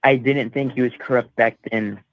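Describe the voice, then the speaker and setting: A man's voice: mid-range voice, fairly even intonation, moderate pace, no room sound. A man calling in to a radio show, giving short casual answers over a dull lo-fi phone call.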